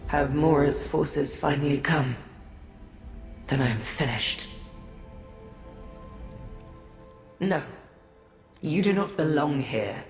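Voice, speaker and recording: Slow, low, imperious goddess. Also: female voice. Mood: bitter, scornful. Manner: solemn, imperious, formal diction. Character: proud, bitter female Daedric prince awaiting imprisonment. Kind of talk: fantasy video game voice acting. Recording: roomy echo with long reverb, music behind.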